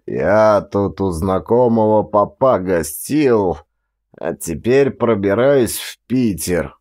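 Man performing old Siberian ex-official, audiobook character, low pitch, boastful, nostalgic, rambling, deferential. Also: lively intonation, darkish tone. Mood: sly, weary. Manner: unhurried, rambling, old-fashioned deferential speech. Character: grey old Siberian ex-police chief, ingratiating, boastful, nostalgic tramp.

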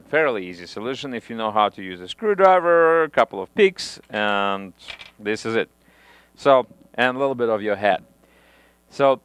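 A man in his thirties demonstrating audio gear in a small home studio, talking through the steps in halting phrasing with frequent restarts, on a headset mic. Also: mid-range voice, a bit bright, clear, resonant tone. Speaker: audio equipment reviewer. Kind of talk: hands-on equipment demonstration.

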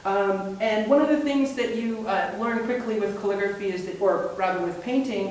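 A man in his thirties, a museum education coordinator, explaining in a relaxed, fluent way with frequent ums. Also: high-pitched. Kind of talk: casual gallery presentation. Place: indoor museum room. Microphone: far camera mic. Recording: echoey room, mic far off, faint hiss.

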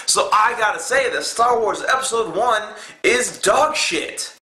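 A man's voice: high-pitched, measured pace, bright, forceful tone, reverberant. A man in his twenties giving a casual, profane movie review, blunt and exasperated. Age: 20s. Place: indoor room in front of a dark backdrop.

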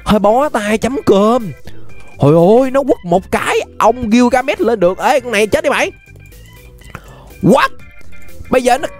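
A young man with a Southern Vietnamese accent giving excited, exclamatory gameplay commentary, repeating phrases and dropping in English interjections. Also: extremely high pitch. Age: young adult. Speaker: gaming YouTuber. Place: indoor gaming room.

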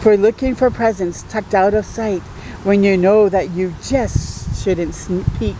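A woman voicing a patient, playful daddy monster, gently explaining things to his young son in storybook rhyme. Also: mid-range voice, fairly even intonation, unhurried, noisy background, voice pushed down, lightly acted.

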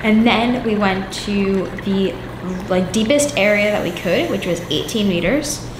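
A woman's voice: medium pitch, measured pace. Chatty teen girl, echoey and noisy. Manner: excited, chatty. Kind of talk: casual vlog narration.

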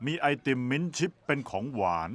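A man's voice: mid-range voice, expressive, fairly quick, a bit bright. A man voicing an earnest science institute worker in a cartoon dub, explaining things in a clinical way.

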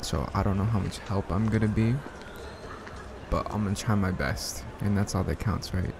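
A man acting a shy, smitten young man in an ASMR roleplay, speaking softly and hesitantly with bashful stammers. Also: lowish voice, normal speed, creaky, background noise. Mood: eager, helpful. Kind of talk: ASMR roleplay, soft one-sided conversational acting.

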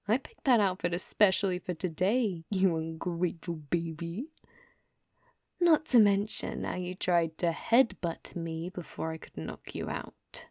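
A woman playing a young, possessive and sadistic yandere captor in an ASMR roleplay, taunting in a mockingly sweet, breathy, cooing way. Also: mid-range voice, warm tone, no room sound, clean studio sound. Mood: scolding. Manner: taunting, teasing, cooing, breathy drops to whisper.

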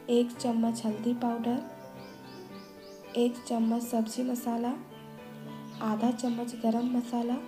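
Calm woman narrating a home recipe. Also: a little high. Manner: calm, methodical, listing each step and ingredient. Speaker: cooking channel host. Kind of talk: step-by-step recipe voice-over. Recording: echoey room voice-over with faint music.

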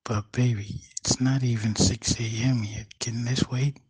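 A man's voice: mid-range voice, steady tone, a little slow, a little mellow, breathy edge, no echo. A man playing a worried male partner in intimate domestic talk in an audio drama. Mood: worried, subdued. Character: worried, sleepy husband or boyfriend at dawn.

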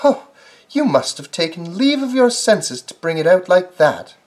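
A man voicing a flustered, bossy female rabbit neighbor, exclaiming in a high voice. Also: sing-song, unhurried.

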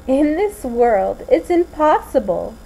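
A woman voicing a gloomy, solemn teenage anime schoolgirl with eerie powers, speaking deliberately in an amateur dub audition. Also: sits high, big pitch swings, vocal fry, mellow, a little hiss or hum, heavily acted, rough recording. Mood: happy, tender. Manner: slow, deliberate, solemn delivery. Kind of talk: anime fan dub audition, acted lines.

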